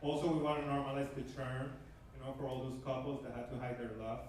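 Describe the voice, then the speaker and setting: A man in his thirties from Venezuela with a Venezuelan Spanish accent, doing an animated stand-up comedy set on a club stage with a handheld mic. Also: mid-range voice, fast talker. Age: 30s. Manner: animated, shouting punchlines. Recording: echoey club from far off, noisy.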